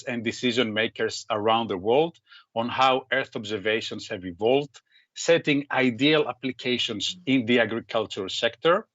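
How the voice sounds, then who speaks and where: A man's voice: medium pitch, fairly bright tone, dry recording. Give me an earnest man from Greece introducing himself, with dry, muffled call audio. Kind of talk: prepared self-introduction. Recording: dull lo-fi sound.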